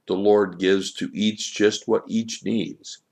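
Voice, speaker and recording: Storybook divine child sage, played by a man: solemn, measured preaching, low and creaky. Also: steady tone, a little mellow, exaggerated voice. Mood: solemn teaching. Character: wise divine child, solemn spiritual teacher. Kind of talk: storybook character reading.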